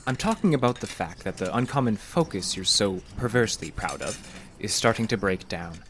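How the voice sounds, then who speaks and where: A man playing a calmly menacing, condescending counselor in a horror audio drama, speaking deliberately and tauntingly. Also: on the low side, a little hiss or hum. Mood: smug, probing. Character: sinister, calmly menacing male counselor secretly drugging his patient.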